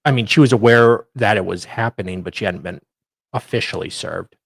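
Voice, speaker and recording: A man's voice: lowish voice, brisk, vocal fry, breathy edge, dry recording. A man co-hosting a casual commentary, adding offhand, speculative asides. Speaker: co-host.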